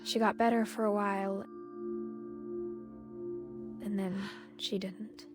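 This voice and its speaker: An actress playing a reflective, grieving young woman who narrates a video game in a quiet, measured way. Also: medium pitch, little pitch movement, natural voice. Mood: reflective, sad. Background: game music.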